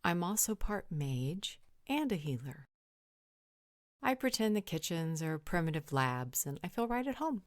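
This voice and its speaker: Vampire healer woman, performed by a man, raised soft voice, helpful, wry, teasing, dry recording. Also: a little high, big pitch swings, a little slow, no echo, voice pushed up. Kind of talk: fantasy audio roleplay.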